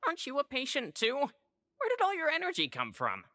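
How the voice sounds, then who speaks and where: A man's voice: very high-pitched, huge pitch swings, normal speed, bright, forceful tone, dry recording, full character voice. A man playing an easygoing, teasing male patient in a video game, with an offhand way of talking. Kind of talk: video game dialogue acting.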